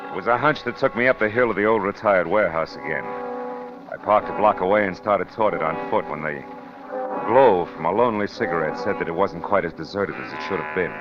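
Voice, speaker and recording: Man acting hard-boiled detective, low voice, dry and wry, clipped, 1940s radio drama, dull old recording. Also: fairly quick, over music, natural voice. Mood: wry, brooding. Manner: dry, wry, clipped tough-guy delivery. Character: hard-boiled male private detective, weary, wry, tough. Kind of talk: 1940s radio noir acting and first-person narration.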